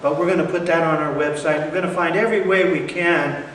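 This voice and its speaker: A man hosting an onstage interview, speaking earnestly and haltingly with many fillers. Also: on the high side, steady tone, fairly bright tone, a little hiss or hum. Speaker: interviewer, event host. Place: large hall. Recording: echoey hall from far off.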